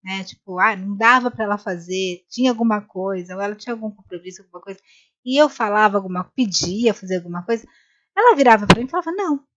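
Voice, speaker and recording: A woman's voice: mid-range voice, animated, fast, highs cut off. A woman co-hosting a live conversation, telling a story in a rambling way with many restarts. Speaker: co-host of a live talk. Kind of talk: casual chat.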